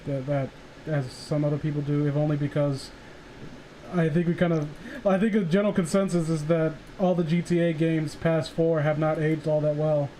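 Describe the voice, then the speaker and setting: A man's voice: a little high, flat delivery, moderate pace, mellow, low-quality recording. A man hosting a casual livestream group call, with a teasing, profane way of talking. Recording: faint background noise, livestream group call.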